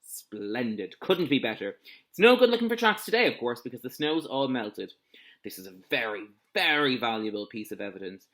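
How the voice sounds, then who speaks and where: A storybook police inspector , read aloud by a man, speaking at a middle pitch. He is official and measured, stressing his points, stern but ready to approve. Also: very animated, pushed and bright. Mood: pleased, approving.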